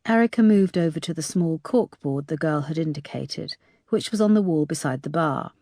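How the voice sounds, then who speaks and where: Woman reading a crime novel, lowish voice, dry close mic. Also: animated, moderate pace, dark tone, no echo. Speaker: audiobook narrator. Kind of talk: scripted narration.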